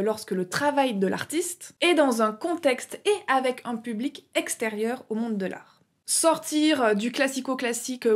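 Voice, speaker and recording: Young woman host, slightly high-pitched, chatty explainer with frequent fillers. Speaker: YouTube art explainer. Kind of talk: casual educational video narration.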